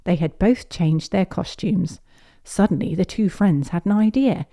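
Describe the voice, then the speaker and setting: A woman reading a children's picture book aloud as a storyteller, in an expressive, measured way. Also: lowish voice.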